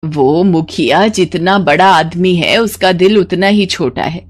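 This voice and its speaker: A woman voicing a poor, worried elderly village mother-in-law who talks in a weary, plaintive, blunt way in an animated story. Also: fairly low pitch, measured pace. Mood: bitter, dejected. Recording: noticeable background noise.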